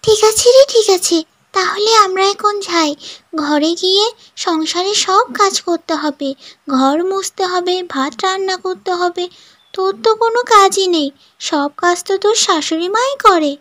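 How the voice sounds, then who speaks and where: A woman's voice: very high voice, normal speed, fairly bright tone, no echo. A woman voicing a gossipy, meddling young village housewife in a cartoon, with a taunting, exaggerated delivery. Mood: chatty. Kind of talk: cartoon dialogue.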